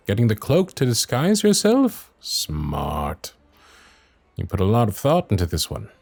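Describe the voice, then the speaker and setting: A man's voice: lowish voice, no room sound, exaggerated voice. A man playing a loyal, protective royal knight with a wry, courtly manner in an ASMR fantasy audio roleplay. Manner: teasing, courtly, expressive, addressing the listener.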